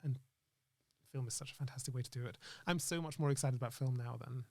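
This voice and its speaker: A man's voice: mid-range voice, fast talker, vocal fry, a little mellow, dry recording. A man speaking as an interview guest in casual, reflective chat, earnestly thinking aloud in broken phrases. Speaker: interview guest, actor and screenwriter.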